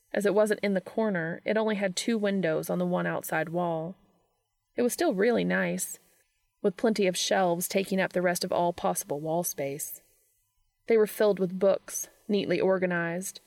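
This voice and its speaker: A woman's voice: lowish voice, moderate pace, vocal fry, a little mellow, dry recording, studio quality. A woman reading a story aloud as a scripted audiobook narration. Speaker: fan fiction reader.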